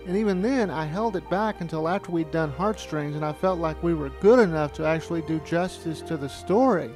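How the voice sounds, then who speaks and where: A man's voice: fairly high pitch, a little fast, a little mellow. A man narrating a reflective, anecdotal documentary retrospective as the filmmaker. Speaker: filmmaker looking back on studio's history. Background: music.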